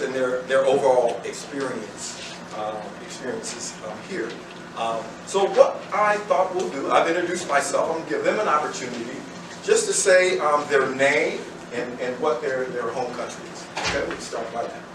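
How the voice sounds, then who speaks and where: A man moderating a student panel, who talks haltingly with many fillers and restarts. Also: medium pitch. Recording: echoey room from far off, loud noise.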